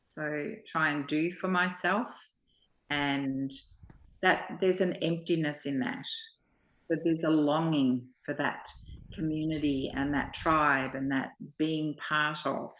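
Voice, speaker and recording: A low-pitched woman speaks very slowly, sharing personal reflections. Her phrasing is halting and thoughtful, and the sound is roomy and echoing. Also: steady tone, fairly bright tone.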